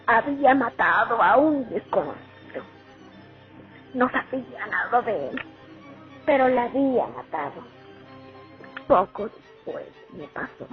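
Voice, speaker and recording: A woman's voice: on the high side, heavily acted. A woman playing a haunted young Soviet sniper remembering the war in a radio drama monologue. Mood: anguished, then somber, haunted. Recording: tinny phone-like audio, music underneath.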